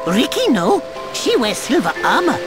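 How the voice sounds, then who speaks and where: A little furry video game creature with a high, very lively voice. It is cheerful, boastful and fatherly, and speaks of itself in simple broken sentences. Music plays clearly behind it. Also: moderate pace. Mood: earnest, wise. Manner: simple broken grammar, speaks of self in third person. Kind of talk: video game cutscene voice acting.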